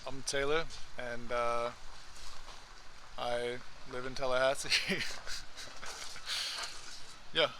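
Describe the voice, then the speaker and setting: A man with a medium-pitched voice chats casually in a street interview. Traffic is clearly audible behind him. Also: little pitch movement, takes it slowly, pauses often, pushed and bright, rough recording. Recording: clear outdoor traffic noise.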